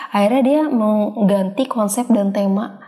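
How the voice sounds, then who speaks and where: A woman's voice: medium pitch, unhurried, dark tone. A woman with an Indonesian accent hosting a casual talk show in an informal, colloquial, jokey way. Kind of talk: casual chat.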